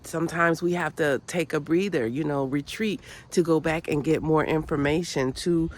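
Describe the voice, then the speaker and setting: A woman's voice: low-pitched, normal speed. A woman hosting her own channel, nasal from a cold, giving an earnest, preachy motivational talk full of repeated phrases and "you know"s. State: has a cold, sounds nasal. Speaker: channel host. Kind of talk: motivational spiritual vlog talk.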